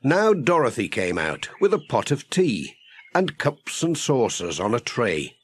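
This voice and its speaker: A man's voice: medium pitch, wildly swooping pitch, a little slow, husky. A man narrating a scripted children's story as an audiobook reader.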